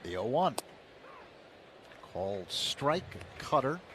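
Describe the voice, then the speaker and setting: A man's voice: medium pitch, big pitch swings. Man calling baseball on radio. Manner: measured, rising with the action. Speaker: play-by-play announcer. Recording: broadcast with clear background noise.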